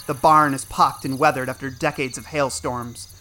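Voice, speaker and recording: A man narrating a scripted audio drama in a deliberate, descriptive manner. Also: mid-range voice, fairly even intonation, pushed and bright, a little hiss or hum.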